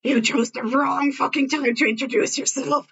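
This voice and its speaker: A woman's voice: high-pitched, steady tone, moderate pace, creaky, a bit bright, dull audio. A woman voicing an angry, foul-mouthed teenage boy who barks and scolds, in an amateur story reading. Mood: furious, exasperated. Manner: barking, scolding, sweary.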